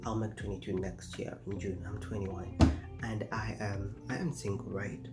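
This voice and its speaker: A man's voice: fairly low pitch, flat delivery, normal speed, loud music behind the voice. A man, chatting casually to camera in a rambling way, full of fillers and restarts. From Uganda. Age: 20s. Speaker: vlogger. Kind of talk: casual talking-head vlog.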